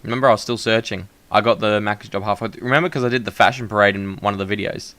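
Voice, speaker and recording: Loud, bright-voiced young man. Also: lowish voice, steady tone, rapid-fire. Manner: loud, projecting voice; frequent fillers and restarts, laughs at himself. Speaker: podcast host. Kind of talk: casual chat.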